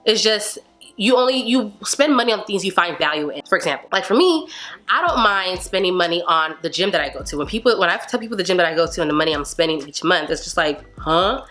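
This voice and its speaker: Young woman, slightly nasal from a cold, bright forceful tone, lowish pitch, chatty vlog from her couch, faint music behind. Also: fast. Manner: animated, talks with her hands. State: stuffy nose from a cold. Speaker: beauty and fitness vlogger. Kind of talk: casual chatty haul review. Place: living room, seated on a leather couch indoors.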